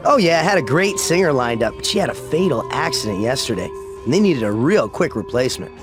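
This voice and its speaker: An actor playing a chatty, leering party worker in a video game, casual and slangy. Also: male voice, medium pitch, big pitch swings, fast talker, music in the background, close to an everyday voice. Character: chatty, leering, gossipy male party staffer. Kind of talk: video game cutscene acting.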